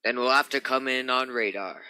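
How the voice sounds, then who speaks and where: A man's voice: medium pitch, moderate pace, bright, forceful tone, clean tone, no room sound. A man playing a stern naval spaceship captain in a radio drama, giving clipped, authoritative orders. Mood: commanding, steady. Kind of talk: old-time radio drama acting.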